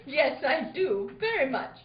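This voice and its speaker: Woman playing a haughty high-voiced foreign temptress. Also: big pitch swings, a little slow. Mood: haughty, indignant. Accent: put-on foreign accent. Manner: imperious, theatrical delivery. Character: haughty, flirtatious foreign society adventuress with a quick temper. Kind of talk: hammy old-time radio acting. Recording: muffled, roomy live recording.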